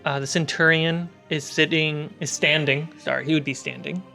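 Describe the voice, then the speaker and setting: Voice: mid-range voice, fairly even intonation, moderate pace, vocal fry, bright, forceful tone. Game master with music underneath. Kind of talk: narrating a tabletop roleplaying game.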